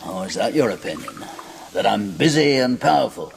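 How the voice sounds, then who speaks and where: An actor in a radio drama playing a powerful, coolly menacing Roman kingmaker, measured and sardonic. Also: male voice, fairly low pitch, big pitch swings, vocal fry, slight background noise. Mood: sardonic, amused. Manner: measured, sardonic, veiled threats.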